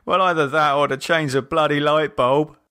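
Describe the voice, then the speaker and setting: A man voicing a shaken, exhausted man in an audiobook horror drama, halting and hesitant, with sarcasm and fear. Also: fairly high pitch, fairly even intonation, pushed and bright, no echo, studio quality, voice pushed up. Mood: wry.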